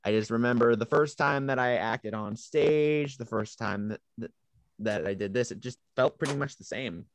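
A young man chats reflectively as an actor on an online panel. His voice sits at a medium pitch, with very little room sound on the call. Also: normal speed, no echo. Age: young adult. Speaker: amateur actor, panel guest. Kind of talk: reflective casual chat. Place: indoors on a video call. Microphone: video call.

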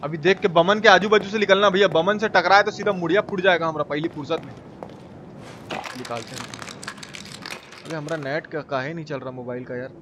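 A young man doing casual, slangy live game commentary, repeating his phrases excitedly and chatting with viewers. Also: fairly high pitch, fairly quick, long pauses, background noise. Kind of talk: casual gameplay commentary with chat replies.

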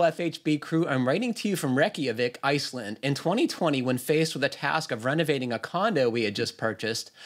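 A man hosting a podcast over a video call, reading listener emails aloud with plenty of ums and uhs. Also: mid-range voice. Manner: hesitant, many fillers. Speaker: podcast host. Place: home room, video call.

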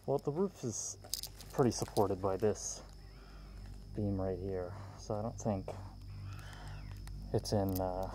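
A man exploring a large dark abandoned store, muttering casual, jumpy comments to a handheld camera. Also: sits low, slow, long pauses, poor audio. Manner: jumpy, muttering, sometimes whispering. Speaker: urban explorer. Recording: camera audio with clear background noise.

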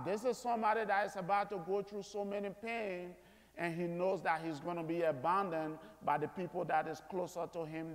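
A man in his thirties preaching a sermon from an auditorium stage in an earnest, deliberate manner. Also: high-pitched. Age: 30s. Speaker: guest chapel speaker. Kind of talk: sermon, preaching to a school chapel. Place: large auditorium stage, indoors. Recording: echoey auditorium with long reverb.

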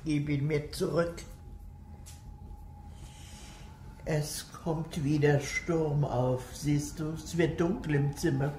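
A woman's voice: low-pitched, fairly even intonation, measured pace, warm tone, reverberant, slight background noise, low-quality recording. An actress playing a frail, cunning old lady in a radio play, commanding and pleading by turns. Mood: ominous. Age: older. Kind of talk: German radio play acting.

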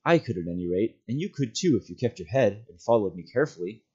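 A man's voice: fairly low pitch, expressive, fast, mellow, husky. A determined young hobbit adventurer, played by a man reading a storybook aloud. He speaks earnestly and with emphasis, like someone set on his course. The recording is slightly dull. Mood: resolved.

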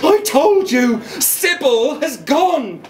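A man's voice: extremely high pitch, very animated, slow, far from the mic, pitched above the performer's own voice, full character voice. A man voicing a frantic, melodramatic schoolboy who adores his pet, drawing out his words dramatically. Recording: echoey live room.

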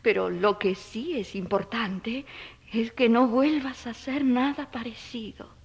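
A woman playing an anguished, protective mother in an audio drama, tender and pleading, with a husky, breathy voice. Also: a little high, measured pace. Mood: tender resolve.

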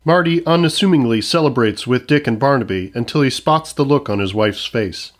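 A man's voice: mid-range voice, fairly quick. A man reading scripted narration for a comedy audio drama. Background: music.

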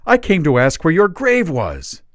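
A man reading aloud voices an excitable, animated gentleman ghost with a high, loud, exclaiming delivery. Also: a little slow, no echo, clean studio sound. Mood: excited. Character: excitable, animated older male novelist ghost.

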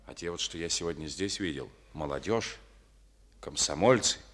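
An actor playing a firm, probing district party secretary in a studio radio play, questioning and rebuking in a calm manner. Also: male voice, low voice, moderate pace. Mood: stern. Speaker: party official.

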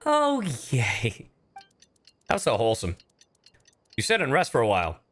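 A man streaming a game and chatting casually, animated and often halting mid-thought. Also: medium pitch. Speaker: streamer and voice actor. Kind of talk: casual livestream chat over gameplay.

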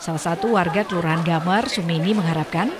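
A woman's voice: on the low side, quick pace, background noise. A woman reading a scripted television news voice-over as the reporter.

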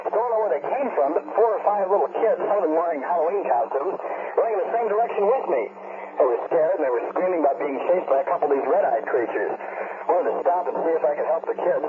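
Frightened, fast-talking reporter over crackly two-way radio. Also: male voice, a little high. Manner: tense, urgent, detailed first-hand recounting. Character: frightened male radio reporter under siege. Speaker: field news reporter. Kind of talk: 1970s radio drama field report. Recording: noisy, tinny two-way radio transmission.

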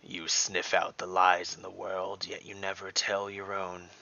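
A man voicing a sinister, taunting dragon with a hissing, breathy whisper, speaking slowly and deliberately in an audio story. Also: sits low, completely flat delivery, bright, forceful tone, muffled recording, full character voice. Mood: menacing, contemptuous. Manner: slow, deliberate. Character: sinister taunting male dragon, menacing and mocking.

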